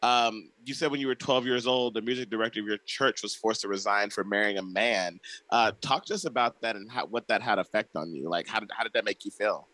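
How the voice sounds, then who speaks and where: A man hosting a podcast in a studio, chatty and quick to laugh, telling stories as he goes. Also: fairly low pitch, bright, forceful tone, no room sound, rough recording. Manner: chatty, laughs often, storytelling asides. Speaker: podcast host. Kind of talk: casual interview chat.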